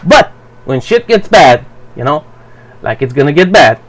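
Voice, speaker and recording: A man whose first language is Romanian, giving a blunt, profane, halting commentary for his channel. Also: on the high side, big pitch swings. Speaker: vlogger. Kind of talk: rambling personal commentary.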